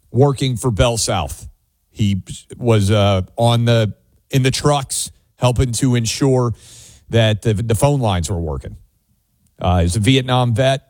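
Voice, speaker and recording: An American man hosts a call-in radio show with a medium-pitched voice. He gives his opinions in an assertive, conversational way, deliberately stressing his points. The sound is dry and close, with no room around it. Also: a little mellow, no room sound. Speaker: talk radio host.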